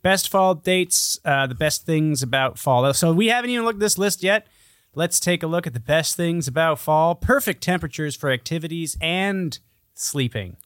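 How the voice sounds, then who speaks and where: A man's voice: a little high, animated, normal speed, fairly bright tone, no echo, clean studio sound. A man hosting a podcast in casual comedic banter, with a sarcastic, teasing, sweary way of talking. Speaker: podcast host.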